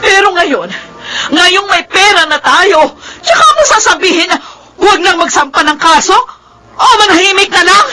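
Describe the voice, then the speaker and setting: Very high-voiced, anguished Filipina mother. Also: moderate pace, bright, forceful tone, faint music behind. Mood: anguished reproach. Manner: emotional, pleading and accusing. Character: anguished, indignant mother confronting her husband. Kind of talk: Filipino radio drama acting.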